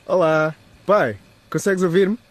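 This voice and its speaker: An actor playing a defiant, homesick teenage schoolboy and business heir in a radio drama. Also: male voice, high-pitched, unhurried. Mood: sheepish, affectionate.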